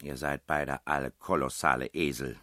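A man voicing a self-assured, practical young woman in an audiobook story, brisk and gently insistent. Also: extremely low pitch, steady tone, no echo, studio quality.

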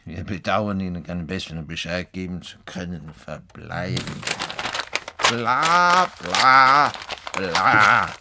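An actor in a radio play playing a stubborn, self-important farmer who grumbles scornfully. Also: male voice, deep voice, expressive, slow pace, noisy background, exaggerated voice. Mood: scornful, indignant. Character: stubborn, indignant male farmer and self-styled world-improver. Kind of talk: radio play acting in Swiss-coloured German.

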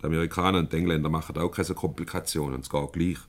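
An actor playing a self-assured, probing company director with a measured, jovially formal manner in a Swiss German radio comedy. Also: male voice, a bass voice, steady tone. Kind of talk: 1970s comedy radio play acting.

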